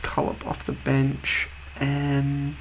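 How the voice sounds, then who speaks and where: A man hosting a video game let's-play in a casual, rambling way, slightly husky from a cold. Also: mid-range voice, completely flat delivery, drawn-out words, slight background noise, rough recording. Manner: rambling, offhand, chatty.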